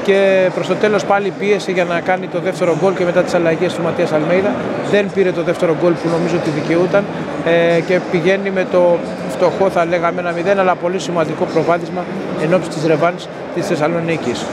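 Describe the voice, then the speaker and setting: A middle-aged man giving a steady, matter-of-fact postgame match report to a phone camera outside a stadium at night, with many hesitation fillers. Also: fairly high pitch. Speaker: sports reporter. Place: outdoors near a stadium at night. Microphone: in-ear earphone mic, phone selfie framing. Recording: phone recording with loud outdoor noise. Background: loud urban outdoor ambience.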